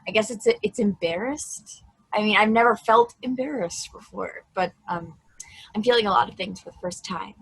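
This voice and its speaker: Woman acting a shy, husky-voiced young space probe. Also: mid-range voice, no room sound, poor audio. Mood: shy, earnest. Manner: halting, hesitant, earnest. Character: newly awakened female space probe, shy, awkward, childlike curiosity. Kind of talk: stageplay table read.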